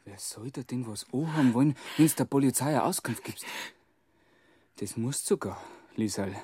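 An actor playing an insistent, probing police inspector with a Bavarian accent in a radio crime drama. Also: male voice, medium pitch, moderate pace, mellow, breathy edge, no room sound, studio quality, natural voice. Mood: insistent, coaxing. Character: insistent male police inspector, gruff but coaxing.

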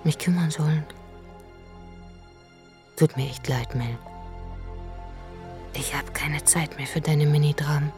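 A hushed, weary young mother. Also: low voice, steady tone, slow pace, long pauses. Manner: hushed, close, half-whispered narration. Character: weary young mother narrating her day. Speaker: first-person narrator, mother. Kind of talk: radio drama narration. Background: music.

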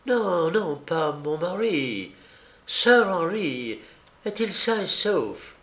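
A man voicing a distraught young wife who speaks in a broken, plaintive, halting way, in an audiobook story. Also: lowish voice, sing-song, echoey room, voice pushed up, heavily acted. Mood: anxious. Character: distraught, battered young wife, bitter and sobbing.

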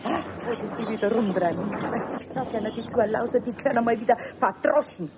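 An actress playing a busy farmer's wife with a Bavarian accent in a 1970s radio play, brisk and scolding. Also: female voice, on the high side, background noise, natural voice. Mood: chatty.

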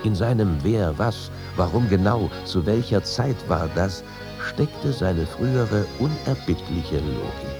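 A man reading literary accounts in a deliberate, scripted narrative style. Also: sits low, lively intonation, music in the background. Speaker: reader of quoted accounts.

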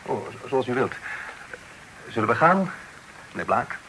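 An actor playing a courteous, forthright young gentleman in a Dutch radio play with formal old-fashioned delivery. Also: medium pitch, very animated, unhurried, full character voice, poor audio. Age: young adult. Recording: old radio play recording, background noise.

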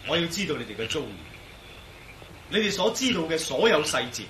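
A man's voice: a little high, big pitch swings, a little fast, fairly bright tone, a little hiss or hum. An actor in a radio drama playing a determined, inquisitive male adventurer, speaking earnestly and with assurance in Hong Kong Cantonese. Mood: urgent, earnest.

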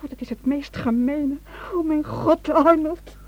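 A woman's voice: high voice, unhurried, darkish tone, lo-fi sound. An actress playing an anxious, indignant woman in a radio drama, fraught and accusing. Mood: horrified, accusing.

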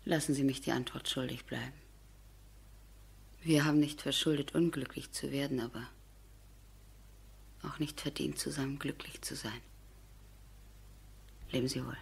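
Grieving noble lady in radio drama, low voice, super breathy, resolute, nearly whispering at times. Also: fairly even intonation, normal speed, long pauses, full character voice. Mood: resigned, firm. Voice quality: very breathy, often near whisper. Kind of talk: German radio play acting.

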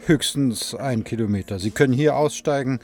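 An actor playing a matter-of-fact, laconic train conductor in a radio play. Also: male voice, mid-range voice, moderate pace, darkish tone, natural voice. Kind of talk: German radio play dialogue.

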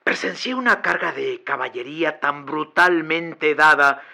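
An actor playing a proud Mexican revolutionary general, giving formal, emphatic testimony in a radio drama. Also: male voice, on the high side, a little slow, vocal fry, sharp tone, exaggerated voice. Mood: grave, emphatic.

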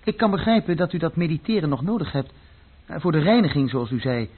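An actor playing a disillusioned, bored young man in a radio play, blunt and questioning, with a husky voice. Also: on the high side, expressive, quick pace. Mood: probing, weary. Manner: world-weary, questioning. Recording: muffled, phone-like old radio recording.

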